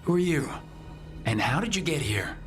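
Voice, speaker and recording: An actor playing an earnest, direct resistance hero in a fantasy video game. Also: male voice, on the high side, expressive, normal speed, over loud music, close to an everyday voice. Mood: cautious.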